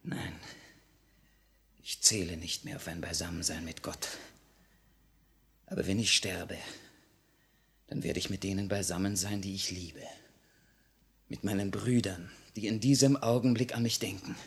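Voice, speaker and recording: Condemned idealist prisoner, 1950 radio play. Husky, impassioned, unbending, declamatory. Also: male voice, deep voice, steady tone, unhurried, long pauses, exaggerated voice. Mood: fervent. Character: condemned revolutionary prisoner, defiant, fervent idealist. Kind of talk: 1950 radio drama acting. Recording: vintage 1950 radio recording.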